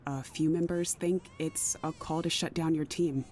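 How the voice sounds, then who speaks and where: Actress as grave, principled female official; lowish voice, stubborn, understated drama, rumbly noisy background. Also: steady tone, normal speed, warm tone, lightly acted. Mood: grave, pained. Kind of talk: naturalistic audio drama acting.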